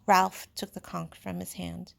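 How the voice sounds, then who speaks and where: A woman reading a novel aloud in expressive, measured storytelling narration, like a teacher. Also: on the low side, vocal fry. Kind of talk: audiobook-style read-aloud narration of a novel.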